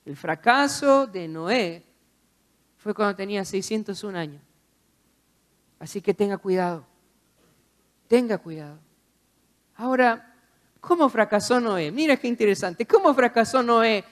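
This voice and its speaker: A man's voice: high-pitched, lively intonation, unhurried, pauses often. A man preaching a sermon, exhorting the listener with repeated phrases and rhetorical questions.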